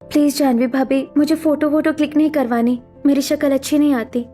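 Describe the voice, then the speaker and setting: A woman's voice: sits high, fairly even intonation, brisk, dark tone, faint music behind, close to an everyday voice. A woman voicing a hurt, self-doubting young sister-in-law in a dramatic cartoon story, with a plaintive, defensive manner. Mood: refusing.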